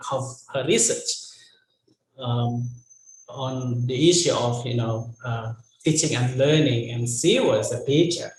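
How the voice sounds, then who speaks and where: A man from Banten with an Indonesian accent, giving an online university lecture in English in a relaxed way, often saying "you know". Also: medium pitch, roomy sound, poor audio.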